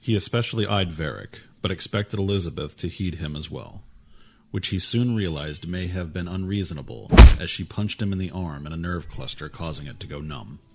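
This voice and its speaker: A man narrating a fantasy audio drama with a steady, even storytelling manner. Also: low-pitched, a little fast, background noise.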